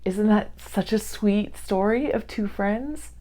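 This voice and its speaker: A woman's voice: medium pitch, slow, dark tone. A woman presenting for young children, explaining things in simple words as a read-along host.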